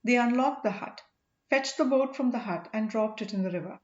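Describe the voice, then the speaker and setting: A woman reading an audiobook story in an expressive, scripted narrating style. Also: on the high side, a little fast. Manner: expressive, acts out dialogue. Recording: echoey room, dull lo-fi sound.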